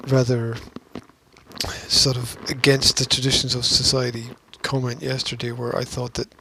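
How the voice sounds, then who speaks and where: A man hosting a casual podcast, talking in a halting, opinionated and argumentative way with emphatic stresses. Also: medium pitch, little pitch movement, gravelly, sharp tone, a little hiss or hum, rough recording. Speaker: podcast host.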